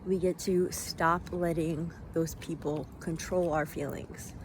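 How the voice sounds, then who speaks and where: A woman giving an unscripted motivational monologue in a reflective way, in halting phrases with deliberate pauses. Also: fairly low pitch, fairly even intonation. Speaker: vlogger giving self-help reflections. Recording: noisy, vehicle-like ambient sound.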